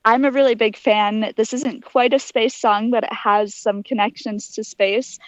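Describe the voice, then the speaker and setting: A woman's voice: a little high, fairly even intonation, moderate pace, no echo, muffled recording. A young adult woman giving conversational, explanatory answers as a guest in an interview. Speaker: interview guest, aspiring astronaut.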